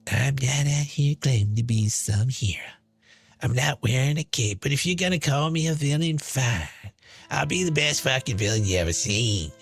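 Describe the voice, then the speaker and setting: A man's voice: mid-range voice, moderate pace, breathy edge, faint music behind. A man voicing a brash, smug, chain-smoking woman in a dramatized monologue, sneering, profane and boastful. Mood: sardonic, defiant.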